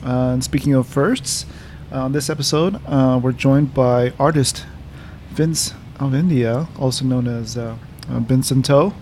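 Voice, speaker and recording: A man's voice: mid-range voice, fairly even intonation, unhurried, a little mellow. A man hosting a podcast, introducing guests casually with frequent uhs and ums. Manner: hesitant, many uhs and ums. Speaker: podcast host. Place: comics shop cafe. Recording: faint ambient noise in a cafe.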